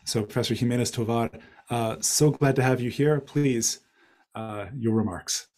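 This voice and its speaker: Male event moderator, thirties to forties, average pitch, formal and courteous, on a video call. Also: normal speed, a little mellow. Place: small office. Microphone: headset on video call.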